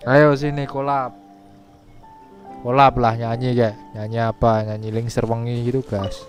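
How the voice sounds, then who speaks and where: Indonesian guy streaming a game, pretty monotone, crude teasing banter, bit of music behind. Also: medium pitch, moderate pace. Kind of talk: casual live gameplay commentary and chat.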